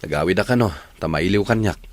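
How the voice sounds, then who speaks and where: Man playing lively, easygoing Filipino boyfriend. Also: sits low, fairly quick, no echo. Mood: placating, teasing. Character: grown working son, easygoing, placating boyfriend. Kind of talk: radio drama acting.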